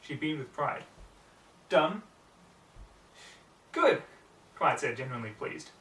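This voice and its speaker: A man narrates a written story in a medium-pitched voice. The recording is roomy, with the mic some way off and faint noise behind him. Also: steady tone, fast talker, sharp tone, distant mic.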